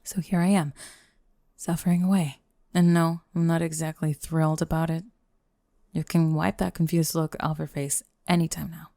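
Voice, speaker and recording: A woman's voice: deep voice, little pitch movement, normal speed, warm tone, no room sound. A young woman playing a sardonic goth bully girl in an intimate ASMR roleplay, grumbling and teasing the listener up close. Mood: grudging annoyance. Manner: teasing, grumbling, sighing, dropping into breathy murmurs. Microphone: close microphone, dry.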